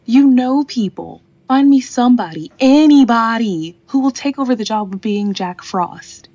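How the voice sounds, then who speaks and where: Woman acting an anxious, high-voiced store employee. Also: animated, moderate pace, mellow, muffled recording. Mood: desperate. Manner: earnest, pleading. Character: anxious, pleading female department store employee. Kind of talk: comedic audio drama acting.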